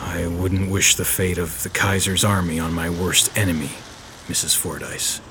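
An actor playing a world-weary, wry, tough male private detective in an audio drama, delivering hard-boiled noir lines in a deadpan manner. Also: sits low, normal speed, a little hiss or hum. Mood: calm, businesslike. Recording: music under the voice.

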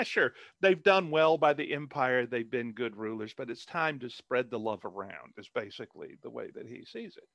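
A man talking loosely about his own writing in an interview, circling back with lots of ums and restarts. His voice sits at an ordinary middle pitch and is recorded dry and close, as on a video call, with no room sound around it. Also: a bit bright, no echo. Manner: rambling, many fillers and restarts. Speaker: author. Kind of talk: casual interview chat.

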